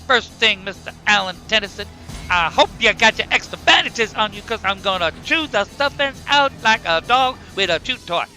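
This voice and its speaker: Man doing a high, brash grizzly bear wrestler. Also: lively intonation, moderate pace, bright, forceful tone, soft background music, full character voice. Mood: cocky taunting. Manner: taunting, swaggering. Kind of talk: cartoon wrestling trash talk.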